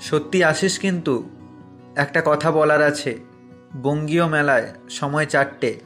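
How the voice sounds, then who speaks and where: A sweet, earnest teenage boy voiced by a man in an audio love story. He talks slowly and gently in a lightish pitch, with quiet music underneath. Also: fairly even intonation. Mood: earnest, coaxing. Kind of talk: Bengali audio story acting.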